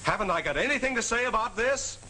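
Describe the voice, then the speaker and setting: A man's voice: sits high, expressive, fast talker, bright, forceful tone, heavily acted. An actor in a 1940s film playing an indignant working family man, protesting and stammering. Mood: bewildered. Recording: noisy old 1940s film soundtrack.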